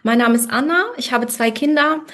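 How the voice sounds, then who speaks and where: A woman in her thirties, born in Russia and raised in Germany, telling her personal story as a podcast guest with many pauses and fillers. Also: a little high, a bit bright. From born in Russia, grew up in Germany. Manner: hesitant, many fillers and restarts.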